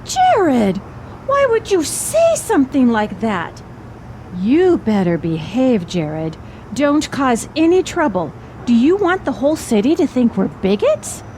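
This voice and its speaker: A woman's voice: high-pitched, big pitch swings, slow pace, darkish tone, slight background noise, exaggerated voice. A woman acting a proper, image-conscious mother in an audio drama, prim and emphatic. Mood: scandalized scolding.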